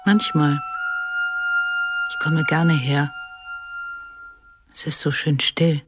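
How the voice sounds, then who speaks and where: An actress playing a subdued, longing middle-aged mother in a radio drama, breathy and hesitant. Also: low-pitched, little pitch movement, very slow, over loud music. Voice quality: breathy, husky. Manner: hushed, hesitant. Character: subdued, longing mother welcoming grown children home. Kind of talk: naturalistic radio drama dialogue.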